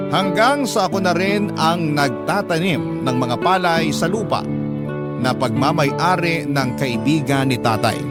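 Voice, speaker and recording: A weary, dutiful farm worker telling his life story for a radio drama. His heartfelt voice sits a bit high, over prominent guitar music. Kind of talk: first-person letter narration in Tagalog radio drama.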